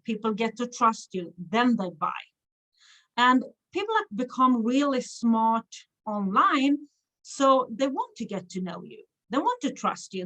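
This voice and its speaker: A Swedish woman speaks in a fairly high voice with the accent of her native tongue. She hosts a live stream in the manner of an online coach. She talks straight to her viewers, encouraging them and checking in as she goes. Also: a bit bright, low-quality recording. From Sweden. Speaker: online business coach and livestream host. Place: hotel room.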